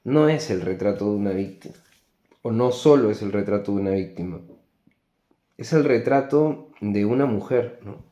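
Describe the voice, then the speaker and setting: A man with a Peruvian Spanish accent giving an unscripted book review in a reflective, halting way, often checking in with tag questions. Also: on the low side, expressive, warm tone, dull audio. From Peru. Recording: echoey, roomy sound.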